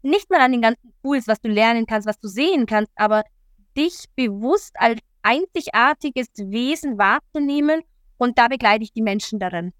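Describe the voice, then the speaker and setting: A woman from Italy, a coach, talking as a guest in an earnest, lively way with many fillers. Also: on the high side, normal speed, no room sound, clean studio sound. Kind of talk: interview talk.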